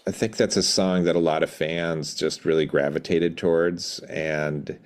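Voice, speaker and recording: Very deep-voiced, thoughtful middle-aged man. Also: fairly even intonation. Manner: thoughtful, measured, pausing to find words. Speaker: podcast guest, rock band drummer. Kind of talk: reflective interview storytelling.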